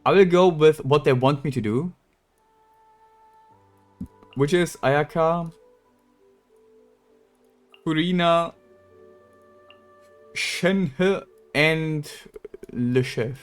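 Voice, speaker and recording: A man's voice: fairly high pitch, very slow, long pauses, soft background music. A man chatting casually as he streams a game, blunt and sweary in his rambling. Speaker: game livestreamer. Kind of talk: casual gaming stream chat.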